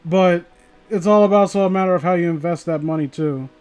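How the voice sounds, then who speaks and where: High-voiced, tipsy male livestreamer, rambling. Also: fairly quick, a little mellow, clean tone, faint music behind. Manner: rambling, profane, opinionated. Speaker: livestreamer reading online horror stories. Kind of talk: casual rambling livestream chat and story reading.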